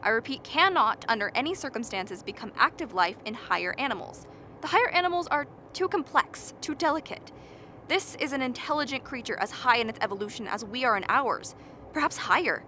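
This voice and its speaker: Woman doing a argumentative scientist in a radio drama, sharp voice, bit of background noise. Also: on the high side, lively intonation, a little fast. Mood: insistent, lecturing. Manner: emphatic, lecturing, argumentative. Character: earnest, impatient expedition biologist defending a frozen creature. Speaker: actor in a radio play.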